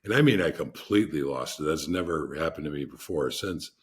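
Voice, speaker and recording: A man with a deep voice, a podcast guest telling rambling anecdotes in a casual interview, often restarting his sentences. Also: animated, a little fast, husky.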